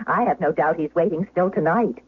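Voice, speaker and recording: Coaxing Duchess, thin radio sound. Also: female voice, lowish voice, normal speed, husky. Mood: coaxing, pleading. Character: imperious, pleading aristocratic wife, a Duchess. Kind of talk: old-time radio drama acting. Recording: thin telephone-like vintage radio broadcast.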